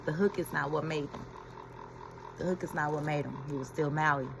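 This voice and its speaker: A woman's voice: deep voice, fairly even intonation, normal speed, gravelly, noisy background. A woman giving a reflective inspirational talk as a spiritual healing coach, repeating key phrases and laughing as she retells a story.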